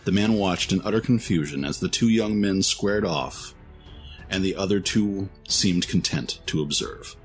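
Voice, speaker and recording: Male narrator for scripted fantasy fiction; very low register, background music. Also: measured pace, rough recording.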